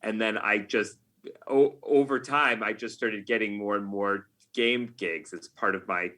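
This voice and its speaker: A man's voice: fairly low pitch, fairly even intonation, unhurried, pushed and bright. A man talking casually as an interview guest, telling stories in a jokey, self-deprecating way. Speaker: podcast guest, game writer.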